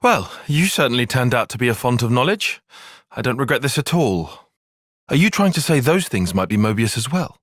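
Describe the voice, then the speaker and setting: Young British male game tactician: articulate, cautious, easily exasperated, expressive range, dry close recording. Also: medium pitch, no room sound. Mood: measured, matter-of-fact. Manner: measured, formal, articulate, dry. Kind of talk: video game cutscene voice acting.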